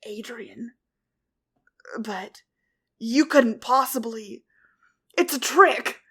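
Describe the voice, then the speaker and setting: A woman voicing an anguished young male superhero who fell into destruction, often speaking in a hushed whisper. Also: on the high side, slow, breathy edge. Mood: cold whisper.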